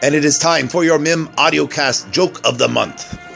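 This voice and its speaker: A man's voice: a little high, normal speed, vocal fry, fairly bright tone. A man presenting a joke segment as a theatrical monster movie show host. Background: music.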